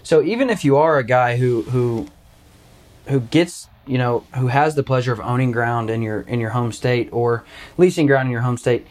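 A man's voice: mid-range voice, a little mellow. A young man from Arkansas hosting a hunting podcast in a casual, joking, rambling way. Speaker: podcast host. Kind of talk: casual chat.

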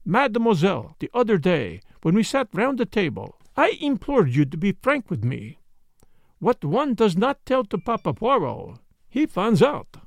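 A man's voice: sits high, wildly swooping pitch, vocal fry, no echo, voice pushed up, exaggerated voice. A man voicing a shrewd, dapper foreign detective who speaks courteously and precisely, with dry formality, in an audiobook mystery. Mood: coaxing. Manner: formal, precise, measured phrasing with French interjections.

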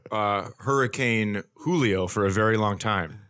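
A man sharing comic anecdotes in casual podcast chat, with a halting, wry way of talking. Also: on the low side, a bit bright, clean tone. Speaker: comedian, podcast co-host.

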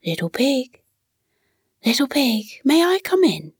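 Woman voicing a gentle, slow-spoken storybook wolf. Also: sits high, very animated, husky, no echo, studio quality, voice pushed up, exaggerated voice. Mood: polite, calling. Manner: soft-spoken, courteous. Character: gentle, shy, polite wolf seeking a home.

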